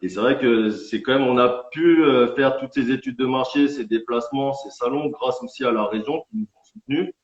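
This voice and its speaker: Man, winegrower guest, earnest, explanatory, echoey room, dull lo-fi sound. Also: medium pitch. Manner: earnest, explanatory, somewhat halting. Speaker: independent champagne winegrower. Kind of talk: guest in a live-streamed interview.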